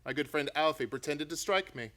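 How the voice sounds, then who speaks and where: A man playing a confident, commanding gentleman detective in a theatrical radio play. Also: fairly high pitch, fairly quick. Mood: triumphant.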